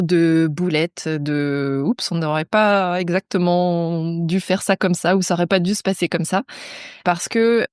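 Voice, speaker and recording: Woman, podcast host, friendly chatty interview talk, low-pitched, dry close sound. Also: no echo. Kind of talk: casual interview chat.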